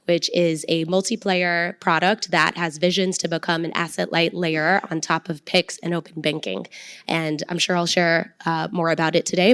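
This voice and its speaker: A woman, a venture capital partner, introducing herself on a panel in a quick, confident way. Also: fairly low pitch, no room sound, clean studio sound.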